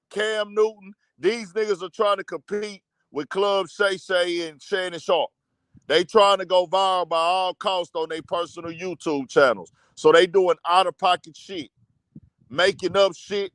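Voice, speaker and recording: A man's voice: high voice, fairly bright tone, no echo. A man hosting a casual livestream commentary show, ranting bluntly and profanely. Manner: blunt, profane, heated rant.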